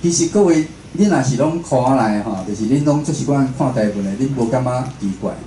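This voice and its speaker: A man's voice: medium pitch, expressive, a little fast, mellow. A man with a Taiwanese accent, a radio host, gives an informal talk in a conversational way, putting rhetorical questions to his listeners. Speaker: radio host and station director giving a talk. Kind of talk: informal spoken lecture. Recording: echoey room with faint background noise.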